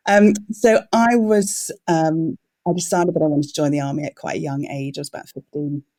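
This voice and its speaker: A British woman in her forties talking conversationally as a podcast guest, telling her story in a measured, articulate way. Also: deep voice, steady tone, warm tone, no echo. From Wales and Birmingham, UK. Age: 40s. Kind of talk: conversational self-introduction.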